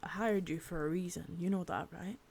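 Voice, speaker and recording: A young woman doing an ASMR roleplay as a cold, contemptuous female boss of a drug ring, menacing and swearing, soft-spoken with bits of whisper. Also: on the low side, a little fast, gravelly, slight background noise. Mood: cold contempt. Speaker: ASMR creator.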